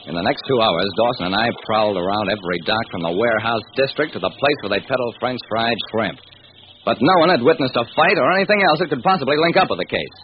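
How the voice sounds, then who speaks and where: A man's voice: mid-range voice, animated, fast talker, lightly acted. An actor playing a driven, wry police detective who narrates his case in hard-boiled radio drama style. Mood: insistent. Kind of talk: old-time radio crime drama narration. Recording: dull, noisy vintage radio recording.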